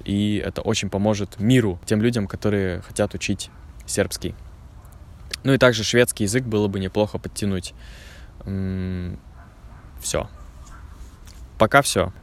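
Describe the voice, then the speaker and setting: A man talking casually to language learners while outdoors in a forest, speaking clearly and unhurriedly. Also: fairly low pitch. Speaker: language teacher vlogger. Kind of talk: casual vlog talk to learners.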